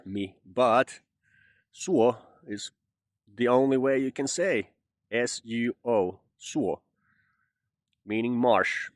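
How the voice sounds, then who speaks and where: A Finnish man talks to camera in English with a Southern drawl, rambling and joking between fillers. His voice sits fairly low, and the recording is dry and close. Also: dry recording. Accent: Southern American-style English. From Finland. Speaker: vlogger. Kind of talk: casual monologue to camera.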